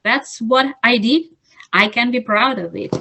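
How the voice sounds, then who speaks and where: A woman's voice: fairly high pitch, unhurried, bright, forceful tone, slight background noise. A woman from Russia with a Russian accent, answering interview questions as a club president, earnest and searching for words.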